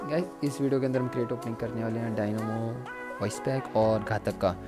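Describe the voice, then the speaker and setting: A man's voice: medium pitch, steady tone, a little fast. A man hosting a gaming video in a casual voice-over. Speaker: gaming channel host. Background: music.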